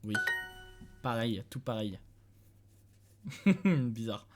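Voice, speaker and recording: A man's voice: mid-range voice, expressive, drawn-out words, music in the background. A young man from France streaming from a dim room at home, thinking aloud in casual commentary, chuckling and talking to his chat.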